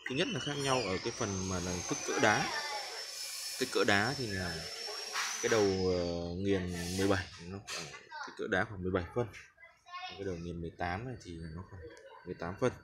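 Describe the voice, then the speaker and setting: Male seller, Northern Vietnamese accent, lowish pitch, informal stop-start demo delivery, very noisy clattering recording. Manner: halting, repeats words while handling parts. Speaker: machine seller demonstrating grinder parts. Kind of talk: informal product demonstration, hands-on explanation. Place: hard concrete floor workspace. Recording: loud clanking metal noise, handheld phone. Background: metal parts clinking and clattering.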